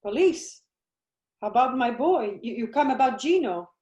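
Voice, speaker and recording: A woman's voice: fairly high pitch, animated, measured pace, a little mellow, muffled recording. An actress playing a desperate Italian immigrant mother with an Italian accent, speaking broken English. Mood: anxious. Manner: broken English, pleading then raging. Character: grieving immigrant mother, older woman, desperate and fierce. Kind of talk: broadcast drama acting. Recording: roomy echoey recording.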